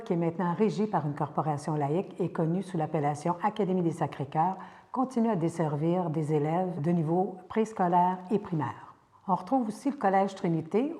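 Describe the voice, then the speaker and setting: Measured Québécoise woman, long reverb. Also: fairly low pitch, dark tone. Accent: Quebec French. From Quebec. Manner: measured and careful, occasional hesitations. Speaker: local historian. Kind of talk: prepared historical narration.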